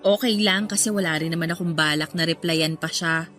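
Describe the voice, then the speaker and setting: A woman's voice: sits low, steady tone, brisk, fairly bright tone. A woman playing a reserved, self-deprecating young woman in a radio drama, hesitant and confiding. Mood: downcast, resigned. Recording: music behind the voice.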